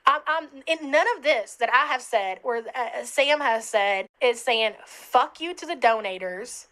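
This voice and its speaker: A woman rants on her livestream, exasperated and swearing all the way through. Her voice is high and bright with a sharp edge. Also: animated, a little slow. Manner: profane and exasperated. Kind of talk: livestream rant.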